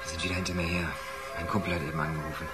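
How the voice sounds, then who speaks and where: A man's voice: low-pitched, flat delivery, very fast, over loud music, natural voice. An actor playing an anxious young male petty thief in a radio crime drama, pleading and evasive. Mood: evasive, defensive. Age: young adult.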